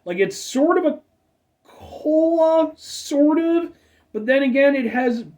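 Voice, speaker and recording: A man's voice: very high-pitched, slow pace, a little mellow, distant mic. A man in his thirties hosting a casual soda review show, rambling with chatty asides and a slightly stuffed-up nose. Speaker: food review show host.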